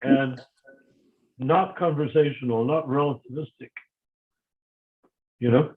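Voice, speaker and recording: A man's voice: mid-range voice, steady tone, takes it slowly. An older man in a casual discussion, speaking emphatically and often repeating words as he starts sentences, heard over a video call. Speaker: discussion guest. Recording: tinny phone-like line.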